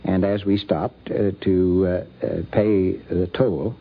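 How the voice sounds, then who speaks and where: An older American man telling anecdotes off the cuff in a halting, deliberate way. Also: low voice, fairly even intonation, vocal fry. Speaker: interviewee recalling a friend. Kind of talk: unscripted anecdotal reminiscence. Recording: dull vintage radio recording.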